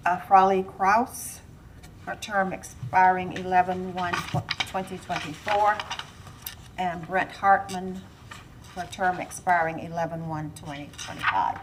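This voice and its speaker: A woman commissioner speaks up with short formal motions, her voice a little low. She reads names and dates deliberately, stumbling slightly, against a faint hum of the chamber. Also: steady tone. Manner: brief, halting, deliberate reading of dates. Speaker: city commissioner making motions. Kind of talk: procedural motions in a meeting. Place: council chamber.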